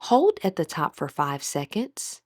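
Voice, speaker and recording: A woman with a breathy voice gently leading a guided meditation as an instructor, in measured, scripted phrases. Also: low voice, huge pitch swings, dry recording, clean studio sound.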